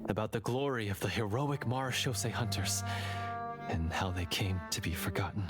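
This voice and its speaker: Ein Mann spricht einen reumütigen Jäger in einem Videospiel, ernst und theatralisch, mit deutlicher Spielmusik dahinter. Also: male voice, lowish voice, lively intonation, moderate pace, lightly acted. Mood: remorseful. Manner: earnest, theatrical. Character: remorseful male hunter, dramatic and guilt-ridden. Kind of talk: game voice acting. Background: game music.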